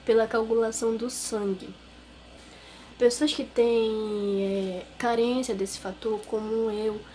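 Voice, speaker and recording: A woman's voice: fairly high pitch, darkish tone, a little hiss or hum, rough recording. A young woman from Brazil with a Brazilian Portuguese accent, telling a personal story to her viewers in a chatty, rambling way full of fillers. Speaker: vlogger. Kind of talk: casual personal storytelling to camera. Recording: clear background music under the voice.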